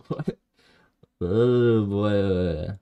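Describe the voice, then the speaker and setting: A man with a deep, gravelly voice streams a game, drifting through low-key, rambling self-talk. He speaks slowly and reads viewers' messages aloud between moves. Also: narrow, tinny audio. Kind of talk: casual live-stream commentary while playing.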